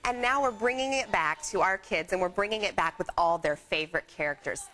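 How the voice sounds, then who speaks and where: A woman's voice: mid-range voice, fairly quick, a bit bright. A woman presenting products live on shopping television in an upbeat, chatty way, like sharing family stories. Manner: upbeat, nostalgic storytelling. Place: TV shopping studio. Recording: faint background studio noise.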